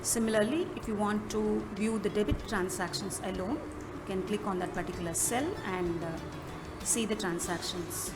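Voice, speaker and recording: A woman presents a software walkthrough in an instructional manner, with halting phrasing. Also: mid-range voice. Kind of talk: step-by-step app walkthrough narration. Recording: music behind the voice. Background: clearly audible music.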